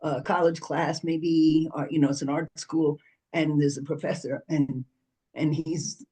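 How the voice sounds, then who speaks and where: A man's voice: a little high, little pitch movement, a little slow, dark tone, husky. A man on an arts panel telling a rambling anecdote, full of "so" and "you know". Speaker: panelist, arts organization president.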